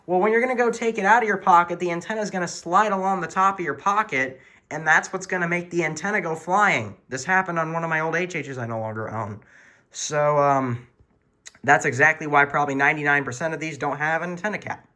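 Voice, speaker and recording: A man's voice: fairly high pitch, a little fast, sharp tone. A man hosting a casual show-and-tell in his room at home, talking in an informal, earnest hobbyist way. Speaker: video host showing a weather radio collection.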